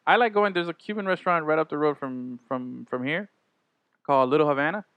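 Fast-talking laid-back man. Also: fairly high pitch, no room sound, muffled recording. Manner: laid-back, slangy, laughs often. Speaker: podcast host. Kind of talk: casual chat.

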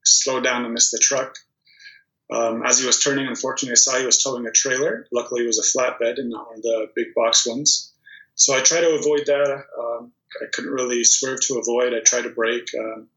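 A man in his thirties, originally from Poland, talking casually as an interview guest with plenty of ums and restarts. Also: mid-range voice. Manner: relaxed storytelling with many ums and restarts. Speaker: guest, volleyball player. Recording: dull, echoey remote recording.

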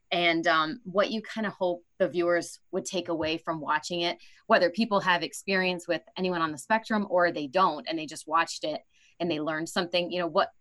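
A woman's voice: fairly low pitch, fairly bright tone. A woman podcast host talking conversationally as she interviews guests.

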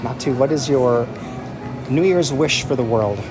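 Man hosting a travel vlog, loud music behind. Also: mid-range voice, measured pace. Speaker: vlog host interviewing travelers. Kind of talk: casual vlog narration and street interviews.

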